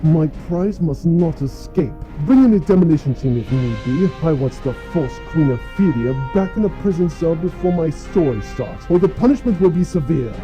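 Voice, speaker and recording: Man acting pompous, mellow-toned villainous archduke. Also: fairly high pitch, lively intonation, moderate pace, few pauses, dull audio, soft background music, lightly acted. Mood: pompous threatening. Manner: pompous, menacing, declamatory. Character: imperious, vengeful male archduke villain, pompous and petty. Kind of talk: comic audio drama acting.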